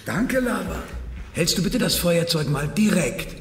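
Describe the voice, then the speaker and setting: An actor in a German radio crime drama playing a menacing, controlling corrupt police chief, speaking calmly and deliberately. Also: male voice, sits high, full character voice. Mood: cold menace. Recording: echoey room.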